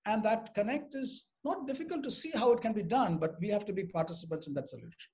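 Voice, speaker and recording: A man with a fairly high voice speaks as a panelist. He talks reflectively in a discussion held over a video call. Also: expressive, fast talker, a little mellow.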